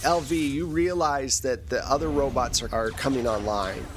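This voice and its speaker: A man's voice: medium pitch, expressive, moderate pace, noisy background. A man narrating a tabletop role-playing game as game master, in a conversational, improvised way. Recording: loud music bed behind voice. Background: music.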